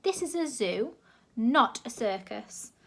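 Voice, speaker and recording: A woman's voice: on the high side, very animated, moderate pace, a bit bright, heavily acted. A woman voicing a stiff, achingly posh male zoo director whose R's come out as W's. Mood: pompous. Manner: pompous, thundering.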